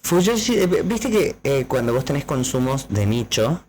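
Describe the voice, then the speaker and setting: A man's voice: mid-range voice, big pitch swings, a little slow, darkish tone, slight background noise. A man co-hosting a casual podcast, explaining at length with many fillers. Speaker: podcast co-host.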